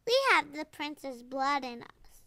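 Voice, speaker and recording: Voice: high-pitched, takes it slowly, bright, forceful tone, no echo, heavily acted. A performer playing an eager young child cadet in a children's audio drama, speaking earnestly and simply. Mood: excited.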